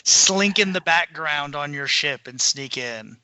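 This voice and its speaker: Guy on a fan podcast, super bright punchy voice, dry recording, just chatting. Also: a little high, animated, measured pace, smooth, dry recording. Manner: informal, conversational. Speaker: podcast co-host. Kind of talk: casual fan discussion.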